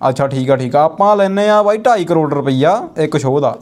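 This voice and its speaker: Fast-talking, higher-pitched man, teasing. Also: expressive, darkish tone. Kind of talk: casual banter.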